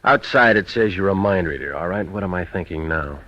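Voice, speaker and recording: An actor playing a tough, wisecracking, cynical private eye, terse and deadpan, in an old-time radio detective drama. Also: male voice, sits low, brisk, no echo. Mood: cool, probing. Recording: dull, noisy old radio recording.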